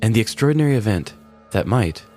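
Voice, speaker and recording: A man's voice: fairly low pitch, sing-song, quick pace, dark tone. A man narrating a scripted comedic story as a podcast host, in a wry, mock-serious manner. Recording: music bed behind the voice. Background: background music.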